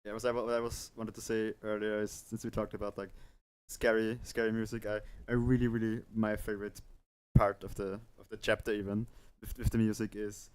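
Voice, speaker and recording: Man, clear smooth voice, narrow pitch range, enthusiastic, casual livestream host. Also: flat delivery, brisk, hardly any pauses, darkish tone.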